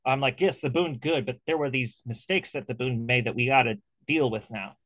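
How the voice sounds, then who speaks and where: A man's voice: medium pitch, fairly quick, a bit bright, dry recording, highs cut off. A man hosting an interview over a video call, talking casually and animatedly, with many restarts and fillers. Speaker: interview host.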